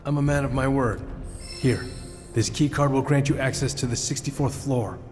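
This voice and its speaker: A man acting as a world-weary company insider in a video game drama. His mid-pitched voice carries long reverb, with clear music behind it. He sounds pragmatic. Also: steady tone, moderate pace, natural voice. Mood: businesslike, wary. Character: world-weary male corporate insider, pragmatic reluctant helper.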